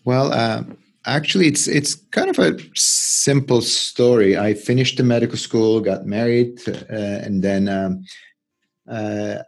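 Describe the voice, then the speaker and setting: A middle-aged man with a Turkish accent, giving unscripted interview answers as a scientist, halting and often repeating words. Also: fairly low pitch, fairly even intonation. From Turkey. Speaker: interview guest, research scientist and physician.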